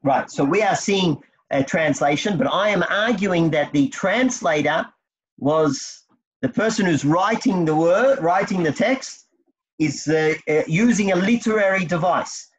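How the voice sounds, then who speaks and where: A man's voice: high voice, lively intonation, unhurried, husky. A man teaching a text study class, explaining step by step and quizzing his students. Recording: dull lo-fi recording.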